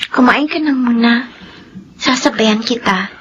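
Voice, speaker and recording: A Filipino woman playing a gentle, devoted young blind daughter in a radio drama, tender and earnest. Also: a little high, unhurried, close to an everyday voice. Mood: tender, coaxing. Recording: muffled radio drama, faint noise.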